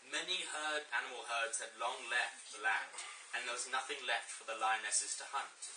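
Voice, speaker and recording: A man's voice: mid-range voice, normal speed, sharp tone. A man reads a children's storybook aloud to friends in a playful, dramatic, jokey way. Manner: dramatic, jokey, mock-teacherly asides. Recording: echoey room, distant mic, faint noise.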